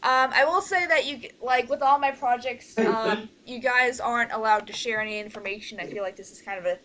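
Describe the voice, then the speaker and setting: A woman leading an online meeting as producer, talking casually in a halting, rambling, informal way. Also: on the high side, fairly bright tone, rough recording. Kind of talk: casual group meeting talk. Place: online group voice call.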